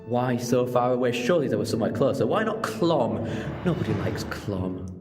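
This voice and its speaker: An actor playing an earnest, principled time-travelling hero in an audio drama, reasoning in a measured way. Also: male voice, mid-range voice, lively intonation, lightly acted. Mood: questioning. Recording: long reverb with music underneath.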